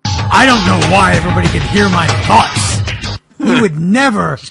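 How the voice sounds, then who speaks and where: A man voicing a worried, melodramatic monster wrestler in a mock dramatic reading, with overwrought, drawn-out delivery. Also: high-pitched, expressive, vocal fry, loud music behind the voice. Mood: puzzled, brooding.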